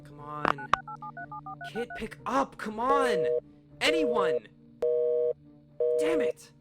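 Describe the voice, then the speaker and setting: An actor playing an earnest late-night radio host and protective older brother in a radio play. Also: male voice, very high voice, big pitch swings, extremely slow, over loud music, heavily acted. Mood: frantic.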